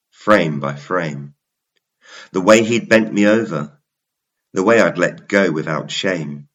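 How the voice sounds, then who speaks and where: Very deep-voiced male storyteller, dull recording. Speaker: story narrator. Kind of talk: scripted first-person audio story narration.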